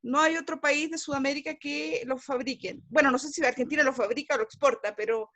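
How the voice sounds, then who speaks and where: A woman's voice: sits high, fairly even intonation, brisk, pushed and bright. A woman from Chile, speaking earnestly and a bit hesitantly in a group video call. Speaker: support group participant.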